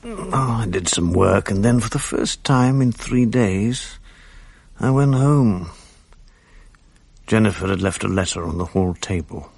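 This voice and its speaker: Low, gravelly, world-weary Englishman. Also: a little slow, darkish tone, no room sound, full character voice. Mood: wry, reflective. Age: middle-aged. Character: world-weary middle-aged film man, wry and irritable husband. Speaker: actor, narrator of the drama. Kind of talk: 1980s British radio drama acting.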